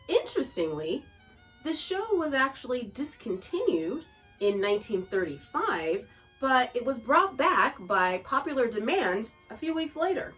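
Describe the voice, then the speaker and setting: A woman's voice: a little high, recorded from a distance. A woman hosting a podcast in chatty, semi-scripted narration, with a playful, giggly manner and folksy asides. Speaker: podcast host. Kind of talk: chatty semi-scripted narration about old radio shows. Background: background music.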